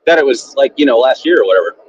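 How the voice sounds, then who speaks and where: Husky man in his thirties, lo-fi audio. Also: medium pitch, lively intonation, rapid-fire. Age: 30s. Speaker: guest. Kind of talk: casual interview chat. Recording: dull lo-fi audio.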